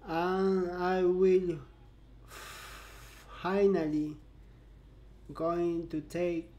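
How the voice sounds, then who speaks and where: A young man who stutters, speaking slowly and haltingly in a personal vlog, filmed by a webcam in a bright indoor room. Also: fairly high pitch. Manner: slow and halting, stuttering with long pauses. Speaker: vlogger.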